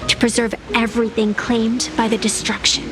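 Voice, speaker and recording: A woman's voice: a little high, little pitch movement, moderate pace, lightly acted. An actress voicing a playful, philosophical ageless woman in a video game, with a lilting, teasing, theatrical manner. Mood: solemn reminiscing. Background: background music.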